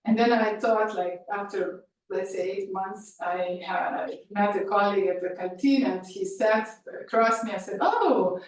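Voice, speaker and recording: A woman whose first language is Hungarian, presenting her research and answering questions, often pausing with fillers and restarting. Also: mid-range voice. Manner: hesitant, many fillers and restarts. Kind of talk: academic talk and Q&A. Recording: echoey room, speaker far from mic, faint noise.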